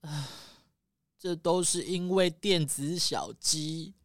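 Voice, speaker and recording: A woman's voice: low-pitched, slow, no echo, clean studio sound. A woman playing a nervous, guilt-ridden young pony schoolkid in a children's detective audio drama, hesitant and pleading. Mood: guilty, confessing. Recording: loud music behind.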